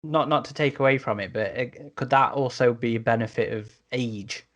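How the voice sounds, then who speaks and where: A man's voice: mid-range voice, normal speed, a bit bright. A man speaking casually as a panelist in a podcast discussion.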